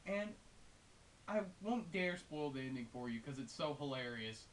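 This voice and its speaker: A man's voice: mid-range voice, lively intonation, moderate pace. A man giving a casual, unscripted video game review in a rambling, hesitant but amused and enthusiastic way. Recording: hissy, noisy home recording.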